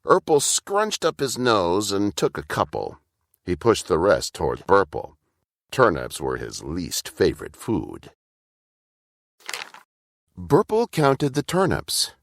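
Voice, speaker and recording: A man's voice: deep voice, huge pitch swings, slow pace, vocal fry, pauses often, dry recording, studio quality. A man narrating a scripted children's story as a storyteller.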